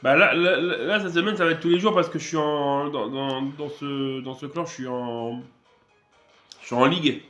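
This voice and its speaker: A man with a cold giving relaxed, rambling commentary while playing a mobile strategy game, with many fillers and restarts. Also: fairly high pitch, moderate pace, roomy sound, soft background music. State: has a cold. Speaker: gaming channel host. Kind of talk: casual gameplay commentary.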